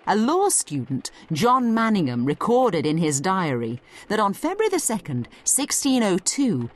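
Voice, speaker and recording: A woman's voice: medium pitch, huge pitch swings, unhurried. A woman narrating a scripted educational audio guide, explaining things conversationally as she addresses the listener directly.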